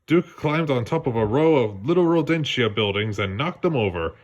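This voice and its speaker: A man narrating a children's read-along story in a lively storytelling manner. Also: medium pitch, normal speed. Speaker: storybook reader.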